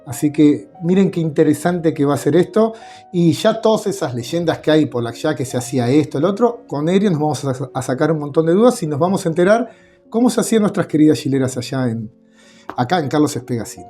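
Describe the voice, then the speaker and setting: A man's voice: on the high side, lively intonation, fairly quick, dark tone, faint music behind. A man hosting a casual interview, enthusiastic and chatty. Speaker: host, interviewer.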